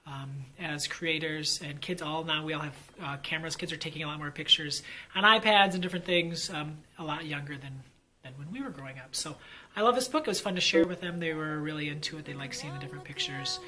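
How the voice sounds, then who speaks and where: Enthusiastic teacher-vlogger, male, crisp bright tone, rambling chatty delivery. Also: on the high side, a little fast, slight background noise. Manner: enthusiastic, rambling with many fillers. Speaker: fifth grade teacher. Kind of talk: casual vlog chat.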